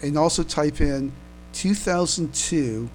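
A man co-hosting a casual fan podcast, chatting haltingly and often repeating his words. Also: a little high, a little mellow, slight background noise. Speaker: podcast co-host.

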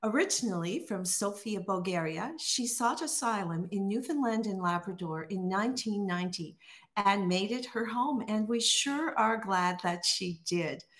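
A woman's voice: medium pitch, expressive, normal speed. Woman, podcast host, enthusiastic, welcoming, interview.

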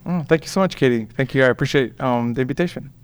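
A man, chatting as an interview guest and pausing often with "um.". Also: mid-range voice, clean tone. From Puerto Rico. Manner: hesitant, many ums and restarts. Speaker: guest, veterinarian. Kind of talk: casual interview chat.

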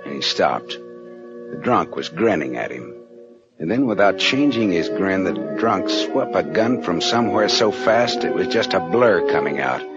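An actor playing a cynical private detective, narrating a 1940s radio drama in a wry, deadpan manner. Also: male voice, low-pitched, a little slow. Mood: tense. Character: cynical, hard-boiled male private detective. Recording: dull vintage radio, loud music behind.

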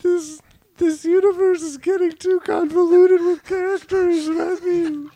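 A plaintive, bewildered parent in an improvised comedy sketch. The voice is extremely high and slow, drawing out each weary complaint with a whine. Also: male voice, level tone, mellow.

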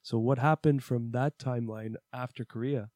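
A man hosting a casual podcast, asking relaxed, curious questions full of fillers. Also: mid-range voice, normal speed, dry recording. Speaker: podcast host.

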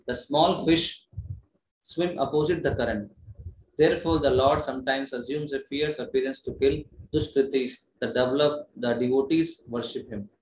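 A man preaching on scripture to an online class, explaining earnestly, restarting his phrases as he goes. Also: mid-range voice. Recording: roomy echo with faint noise.

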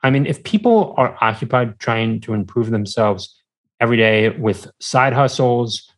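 A man's voice: medium pitch, lively intonation. Podcast guest: man, mid-range voice, hesitant, casual, conversational. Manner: hesitant, searching for words, halting.

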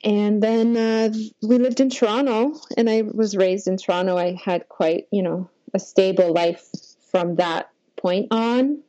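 A woman's voice: mid-range voice, steady tone, measured pace, mellow, dry recording, lo-fi sound. Polish-born woman, Polish first language, guest telling her life story; meandering and reflective, dry close sound. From Poland. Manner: rambling, reflective, frequent "like". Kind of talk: personal life story in an interview.

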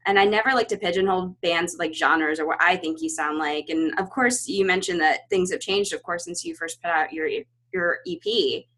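Upbeat woman interviewer, slightly low voice. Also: normal speed, hardly any pauses, poor audio. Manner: warm, encouraging, enthusiastic. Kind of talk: casual interview.

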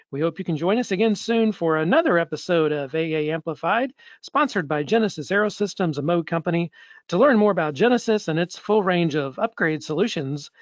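A man's voice: high-pitched, no echo. A man hosting a podcast, asking questions and reading sign-off announcements in an upbeat, promotional way. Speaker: podcast host. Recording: dry, slightly dull audio.

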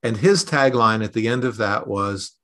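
Measured man in a podcast interview. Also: fairly low pitch, a bit bright. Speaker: podcast host being interviewed as a consultant.

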